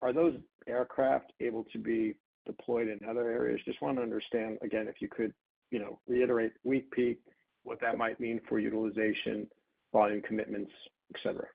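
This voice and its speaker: A man asking questions as an analyst on an earnings call, halting and piling up clauses over a phone line. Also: medium pitch, level tone, creaky, breathy edge. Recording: narrow, tinny phone line.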